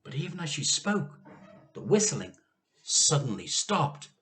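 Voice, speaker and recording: A man reading a children's story aloud with expressive, theatrical narration and dramatic pauses. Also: fairly high pitch.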